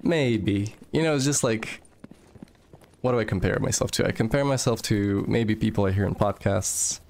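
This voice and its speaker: A man's voice: on the low side, moderate pace, gravelly, darkish tone. A young man from Moldova, chatting casually while streaming a game, swearing freely and reading chat aloud. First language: Russian.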